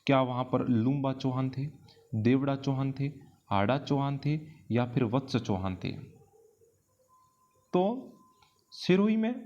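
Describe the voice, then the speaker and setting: A dull, lo-fi recording carries an Indian man's mid-pitched voice. He teaches in a didactic question-and-answer style for exam preparation. Key phrases come back again for emphasis. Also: expressive, a little slow, pauses often, darkish tone. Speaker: history teacher.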